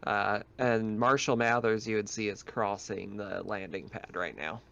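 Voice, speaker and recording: A man's voice: fairly low pitch, fairly even intonation, a little slow, gravelly, fairly bright tone, muffled recording, slight background noise. A man narrating a tabletop roleplaying game as game master, explaining scenes in a conversational way.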